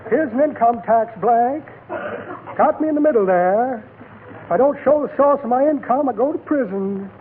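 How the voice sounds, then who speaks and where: A man's voice: very high-pitched, lively intonation, normal speed. An actor playing a shady, wisecracking old man with blustery, theatrical delivery in 1940s radio comedy. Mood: pompous bluster. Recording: tinny noisy 1940s radio, audience behind.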